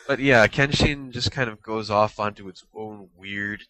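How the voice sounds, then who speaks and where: A man's voice: fairly low pitch, measured pace, pushed and bright, low-quality recording. A man co-hosting a casual fan podcast, giving detailed, slightly pedantic explanations with dry asides. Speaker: podcast co-host.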